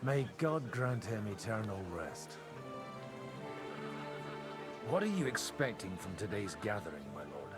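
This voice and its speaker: A wry, lively medieval priest. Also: male voice, fairly low pitch, moderate pace, over music. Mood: courteous. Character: roguish disgraced medieval priest, elder brother, wry and plain-spoken. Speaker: video game character. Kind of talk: cinematic video game dialogue.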